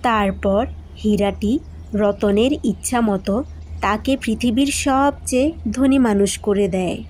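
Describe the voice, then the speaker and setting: A woman's voice: medium pitch, fairly even intonation, measured pace, darkish tone, a little hiss or hum, rough recording. A woman reading scripted fairy-tale narration as a cartoon storyteller.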